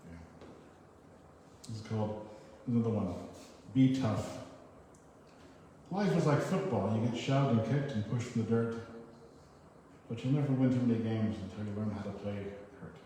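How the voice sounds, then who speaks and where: A man giving a live poetry reading, with informal, halting, self-deprecating commentary between poems. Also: lowish voice, mellow. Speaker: reader at a poetry event. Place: echoey hall at a live event. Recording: noisy, echoey hall, distant mic.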